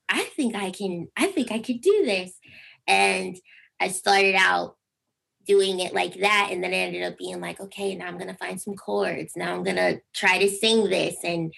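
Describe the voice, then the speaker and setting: A young woman with a squeaky speaking voice, a singer chatting over a video call and telling stories with lively acted-out quotes. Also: creaky, poor audio. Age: young adult. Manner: animated storytelling, acts out quotes with big pitch jumps. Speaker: singer guest. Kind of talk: casual interview chat.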